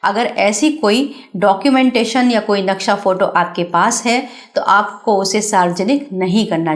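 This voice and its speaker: An Indian woman presenter giving a scripted explanatory narration, instructive and speaking directly to the viewer. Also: medium pitch.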